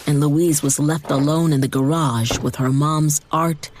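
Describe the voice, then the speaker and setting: A woman reading dramatized fiction narration in a measured way with deliberate emphasis. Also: sits low, steady tone, a little mellow, slight background noise. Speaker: audiobook narrator.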